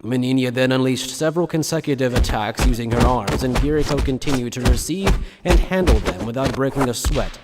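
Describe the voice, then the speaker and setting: Male narrator with a mid-pitched voice. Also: brisk, hardly any pauses, loud music behind the voice. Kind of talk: scripted dramatic narration.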